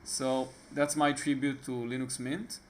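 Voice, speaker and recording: A man from Israel with an Israeli accent, talking casually and haltingly through a screen-recorded computer tutorial, often pausing on fillers and restarting his sentences. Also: mid-range voice, flat delivery, slight background noise. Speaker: tutorial host.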